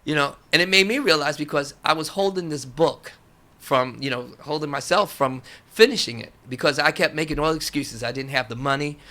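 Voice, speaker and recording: Bright-voiced man, higher pitched, chatting as guest. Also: fairly quick. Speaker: interview guest, author. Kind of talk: casual conversational storytelling.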